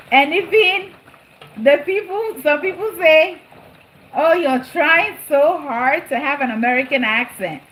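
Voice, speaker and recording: A woman's voice: high voice, bright, forceful tone, rough recording. A middle-aged woman with a slight New Jersey accent chats casually to live-stream viewers as she cooks, often repeating phrases for emphasis. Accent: New Jersey-influenced. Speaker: live-stream cooking host. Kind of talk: casual live chat with viewers while cooking. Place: home kitchen. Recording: food frying and boiling noise behind. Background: sizzling, bubbling cooking sounds.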